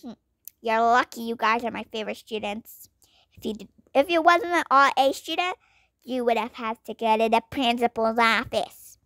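A woman voicing a strict female schoolteacher who barks loud orders at late students in a game roleplay. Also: on the high side, moderate pace, dry recording, studio quality. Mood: stern. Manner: bossy, loud scolding orders.

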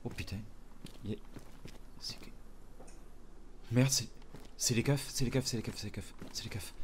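A man's voice: medium pitch, normal speed, natural voice. Man doing a scared young criminal in a game roleplay, swears, stutters and whispers, mid voice. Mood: tense whispering. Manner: swearing constantly, stammering, often hushed whispers. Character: panicked young male smuggler hiding from police. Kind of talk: improvised roleplay acting.

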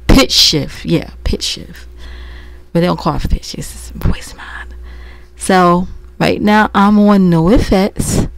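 Slow-talking woman vlogger, casual. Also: on the low side, creaky, dry recording. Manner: rambling, thinking aloud. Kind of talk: casual software demo chat. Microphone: computer microphone.

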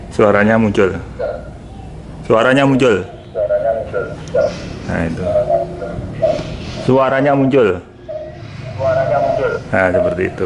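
A man's voice: on the low side, vocal fry. A man giving a casual hands-on product demonstration, repeating short phrases as he goes. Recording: noticeable background noise.

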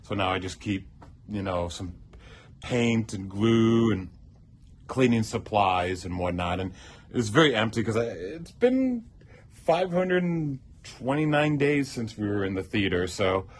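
A man's voice: lowish voice, expressive, measured pace, breathy edge. A middle-aged man giving a casual, rambling tour of his prop box in a theater prop shop, listing tools with many ums and uhs. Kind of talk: casual show-and-tell tour.

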